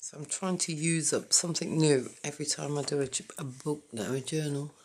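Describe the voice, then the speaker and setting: A hoarse woman hosting a papercraft channel, quietly naming each item in a casual journal flip-through with a croaky voice. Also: low-pitched, a little hiss or hum. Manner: quiet, halting, naming items one by one.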